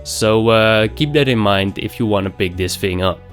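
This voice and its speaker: Young man, nasal cold voice, low-pitched, rambling casual review, light swearing, faint background music. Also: moderate pace. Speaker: YouTube game reviewer.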